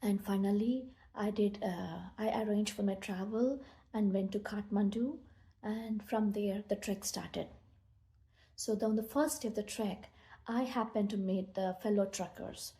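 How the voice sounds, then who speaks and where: A woman with an Indian accent and a soft-edged, mellow voice at a medium pitch. She tells a personal story to listeners in an earnest, halting way, sometimes doubling back on her words. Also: steady tone. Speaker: meditation speaker.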